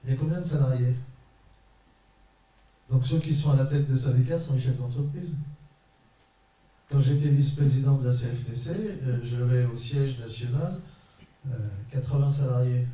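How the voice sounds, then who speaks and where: A middle-aged trade unionist from France addresses an audience in a measured, emphatic way, his voice very dark and mellow. He is heard from a distance in a large hall with strong echo. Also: medium pitch, steady tone. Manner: measured, emphatic, short repeated phrases. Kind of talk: conference talk to an audience. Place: large echoey parish hall. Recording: echoey hall, mic far off.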